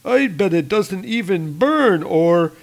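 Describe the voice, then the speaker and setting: A man voicing a crude, hungry male troll from a comic, pitched up and gloating, in a loud, brutish manner. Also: animated, takes it slowly, creaky, exaggerated voice.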